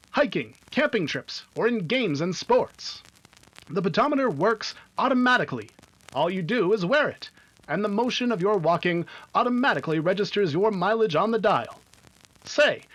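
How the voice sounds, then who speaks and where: High-pitched 1950s radio pitchman, acted by a man. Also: a bit bright. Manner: enthusiastic, emphatic, clearly enunciated. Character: eager 1950s children's radio pitchman announcer. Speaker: radio announcer. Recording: dull, lo-fi radio sound.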